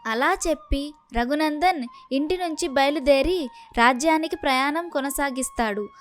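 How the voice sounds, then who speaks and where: A woman reading scripted narration for a children's story. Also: high-pitched.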